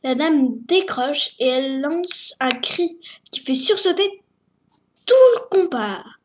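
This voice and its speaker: A woman reading a children's story aloud, slowly and hesitantly, stumbling over words. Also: sits high, animated. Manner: slow, hesitant reading with fillers.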